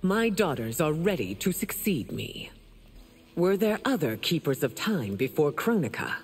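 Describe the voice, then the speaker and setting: A regal empress for a fighting game. Her voice is husky and moves widely in pitch. She is stern, dignified and resolute. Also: very animated, unhurried, heavily acted. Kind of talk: fighting game character dialogue.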